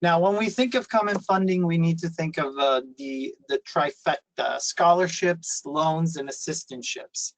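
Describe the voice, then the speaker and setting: A man's voice: a little high, measured pace, few pauses, no room sound, poor audio. A man, raised in Italy, giving a webinar presentation on a video call and explaining things with many fillers and restarts. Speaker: university presenter.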